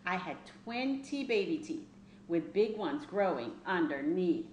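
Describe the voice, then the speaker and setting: Female storyteller, middle-aged, slightly low voice, deliberate expressive read-aloud for kids, roomy echoing home recording. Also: muffled recording. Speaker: teacher reading a children's book aloud. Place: small plain indoor room. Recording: roomy echo, faint background noise.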